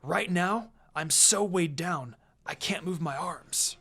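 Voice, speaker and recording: A man's voice: a little high, slow, sharp tone, husky, exaggerated voice. A man voicing an earnest, awkward teenage ninja boy in a storybook reading, plain-spoken and a little sheepish. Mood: strained explaining. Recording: music behind the voice.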